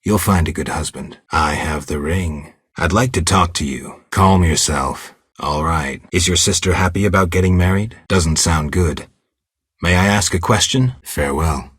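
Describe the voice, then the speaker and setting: Game lead: stoic, world-weary monster hunter, extremely low and rasping, blunt yet protective, terse delivery. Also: male voice, no echo. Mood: even, matter-of-fact. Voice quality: gravelly, husky low voice. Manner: terse, restrained, dry delivery. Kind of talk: video game character dialogue.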